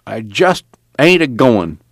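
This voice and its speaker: A man's voice: medium pitch, very animated, slow, no echo, clean studio sound, heavily acted. A man voicing a cantankerous, stubborn old farm grandfather from an audiobook novel, blunt and folksy. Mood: stubborn, defiant. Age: older.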